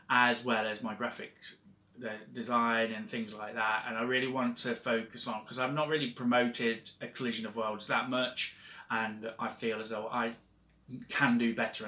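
A man's voice: medium pitch, sharp tone. A young man casually chatting on a home-studio vlog, rambling with many fillers and restarts. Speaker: vlogger and self-published author. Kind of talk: casual talking-head vlog. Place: home studio room with shelves. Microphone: fixed camera at desk distance. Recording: echoey room.

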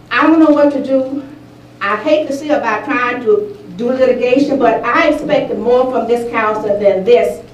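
A woman's voice: a little high, a little mellow, slight background noise. An older woman speaking at public comment in a council meeting, emphatic and exasperated. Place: large council chamber. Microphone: podium microphone heard from a distance. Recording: echoey chamber, mic at a distance.

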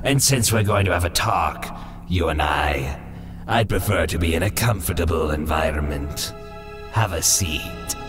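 A man's voice: sits low, unhurried, a bit bright, faint music behind. A man playing an urbane, arrogant, soul-eating bogeyman demon in a comic dub, speaking formally and imperiously. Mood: smooth menace. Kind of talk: comic dub villain acting.

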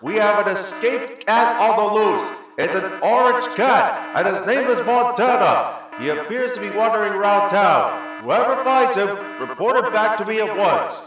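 A man voicing an urgent, shouting animal shelter guard in a children's story. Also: high voice, animated, moderate pace, hardly any pauses, heavy reverb, far from the mic, highs cut off, over music, voice pushed up.

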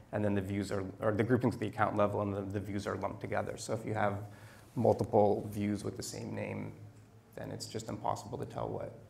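A middle-aged man giving a technical presentation at a meetup, speaking into a handheld microphone in a measured way with frequent ums and restarts. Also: on the low side, vocal fry, a little mellow, slight background noise. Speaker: conference speaker. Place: meetup room, indoors.

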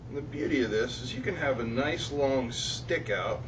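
Joking welder in a noisy workshop. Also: male voice, mid-range voice, normal speed. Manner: joking, self-deprecating, talks while working. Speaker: welding video host. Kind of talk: casual hands-on demonstration. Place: workshop with welding bench, indoors. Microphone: lapel mic clipped to shirt. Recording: distant with noticeable shop noise.

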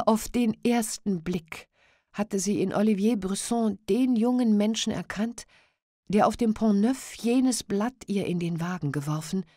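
A woman narrating a classic novella as an audiobook, in a measured, unhurried storytelling manner. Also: mid-range voice, darkish tone, no echo, studio quality.

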